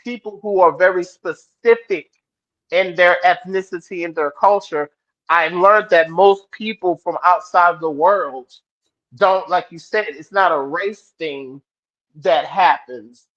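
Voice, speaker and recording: A man with a fairly high voice, talking with blunt, profane emphasis. He often stops and restarts his sentences. The sound is a little dull and lo-fi. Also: slow, fairly bright tone. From New Orleans, US. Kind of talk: casual discussion.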